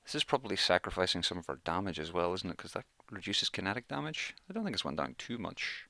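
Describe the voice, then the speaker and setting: Bright-voiced man doing game commentary. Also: low-pitched, big pitch swings, fast talker, creaky, no echo. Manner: chatty, thinks aloud while playing. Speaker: gaming YouTuber, let's play host. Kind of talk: casual let's play commentary.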